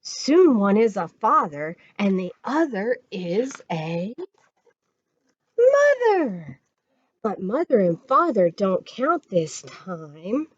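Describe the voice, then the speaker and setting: Casting: American woman as children's storytime reader, very slow and encouraging, widely swooping pitch, dull lo-fi sound. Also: big pitch swings. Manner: sing-song, encouraging, addresses children directly. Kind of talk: children's read-aloud and counting rhymes. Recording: dull lo-fi audio.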